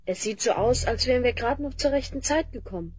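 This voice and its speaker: A woman's voice: on the high side, expressive, a little fast, darkish tone, husky, no echo. A woman playing a brave young child hero, earnest and questioning, in a fantasy audio drama. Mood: worried.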